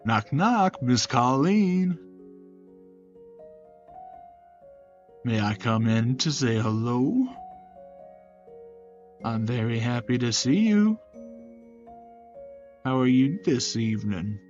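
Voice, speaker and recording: A man's voice: mid-range voice, animated, slow, pauses often, a little mellow, highs cut off, soft background music. A man voicing a courteous, protective male caregiver in a visual novel, with a folksy manner. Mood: warm, courteous.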